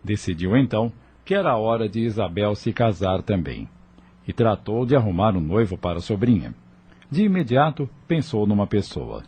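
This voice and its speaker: A man's voice: on the low side, big pitch swings, moderate pace, a little mellow, breathy edge. A Brazilian man narrating an audio novel in a scripted storytelling style.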